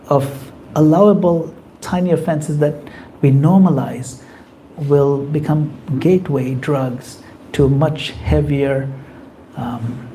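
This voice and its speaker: A man's voice: a little high, a little hiss or hum, low-quality recording. Earnest man leading a devotional class. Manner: earnest, gently advising. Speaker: class leader taking questions. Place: community temple class.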